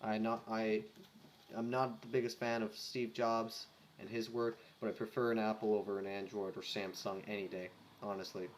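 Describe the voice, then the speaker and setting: A man's voice: fairly low pitch, flat delivery, moderate pace, a little hiss or hum. A young man reading fan fiction casually, with offhand, self-deprecating comments and stumbles over his words. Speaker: amateur fan fiction reader.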